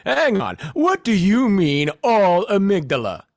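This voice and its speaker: A man playing a bossy, insecure zombie hunter in a comedic audio play, with a husky voice and an excitable, commanding delivery. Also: high voice, unhurried, dry recording, studio quality, heavily acted. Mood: indignant. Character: bossy, insecure male zombie-hunting team leader.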